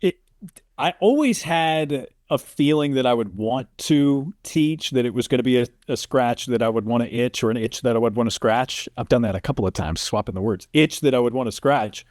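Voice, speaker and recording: A man's voice: medium pitch, normal speed, a little mellow, no room sound. American man chatting, dry close sound. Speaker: interview guest, sports play-by-play announcer. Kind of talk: casual interview talk.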